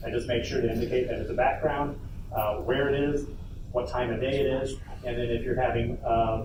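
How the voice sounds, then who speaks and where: A man giving an informal instructional panel talk, speaking casually and haltingly with playful asides. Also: medium pitch. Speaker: workshop presenter on a convention panel. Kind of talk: informal instructional presentation to an audience. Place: convention panel room. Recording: noisy, echoey room, distant mic.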